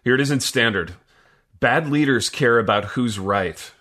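Bright-voiced man bantering as podcast host. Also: mid-range voice, normal speed. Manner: jokey, slangy, addresses co-hosts as dude and baby.

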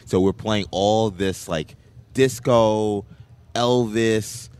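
A man with a deep voice tells a story playfully, speeding up as he gets animated. His pitch sits very low, among the lowest for men. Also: extremely slow, clear, resonant tone. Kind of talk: casual storytelling.

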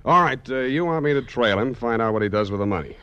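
An American actor playing a wry, cynical, hard-boiled private detective in a 1940s radio drama. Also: male voice, mid-range voice, animated, fast, a bit bright, clean tone, muffled recording, close to an everyday voice. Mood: cynical, businesslike. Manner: wry, laconic, sardonic. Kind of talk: 1940s radio acting, dictating a case report. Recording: vintage 1940s radio broadcast.

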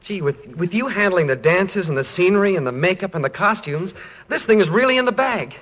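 A man's voice: high voice, animated, fairly quick, fairly bright tone. A young man playing an earnest, bashful college student with a boyish, polite manner in 1940s radio comedy style. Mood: grateful, eager. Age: young adult. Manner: boyish, polite, eager, apologetic.

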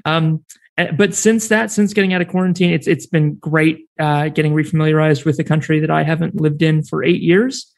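American man, podcast guest, slightly high voice, rambling and chatty. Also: fairly even intonation, moderate pace, vocal fry. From New Zealand and United States. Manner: rambling, many fillers and restarts, laughs easily. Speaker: podcast network founder, guest. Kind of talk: casual interview chat.

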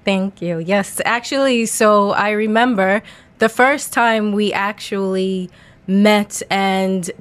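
A woman with an American accent and a medium-pitched voice. She talks casually as a music guest in a radio interview, in a studio setting. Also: slow pace. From Long Island, New York, United States.

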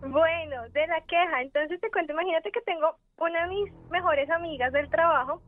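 A woman's voice: very high voice, normal speed, faint music behind. A woman from Colombia with a Colombian accent, calling in to a radio show and telling a story in a friendly, giggly way. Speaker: caller. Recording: tinny phone line.

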